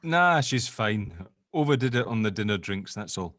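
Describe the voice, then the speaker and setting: A man's voice: on the low side, sing-song, moderate pace, a bit bright, no echo. Man acting exasperated, earnest amateur sleuth, dry recording. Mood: explaining. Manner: exasperated, hesitant. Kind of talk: audio drama table read.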